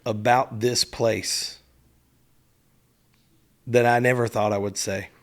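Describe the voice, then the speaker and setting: A man with a Southern US accent, a podcast co-host in casual chat, his voice scratchy and husky from vocal strain, with dry, teasing banter. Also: mid-range voice, fairly even intonation, slow.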